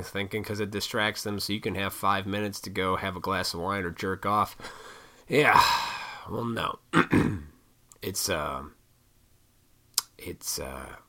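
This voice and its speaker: A man in his thirties rants off the cuff, swearing and wandering between points. His voice sits low but comes out hard and pushed, with a froggy throat he keeps clearing. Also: steady tone, unhurried, bright, forceful tone. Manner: profane, opinionated, rambling. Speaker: vlogger. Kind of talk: rambling casual rant to camera.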